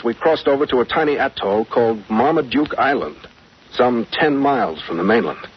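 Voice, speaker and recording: An actor playing a confident, level-headed adventurer captain in a 1940s radio drama. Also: male voice, medium pitch, slightly rough, heavily acted. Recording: muffled, noisy old radio recording.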